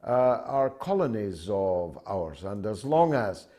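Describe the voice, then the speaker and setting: An older man with a Scottish accent hosting a call-in talk show, speaking forcefully and emphatically with many pauses. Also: on the low side, sing-song.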